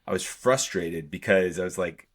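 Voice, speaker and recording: A man's voice: sits low, normal speed. A man hosting a podcast interview, affirming his guest and asking follow-up questions. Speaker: podcast host. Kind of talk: conversational interview.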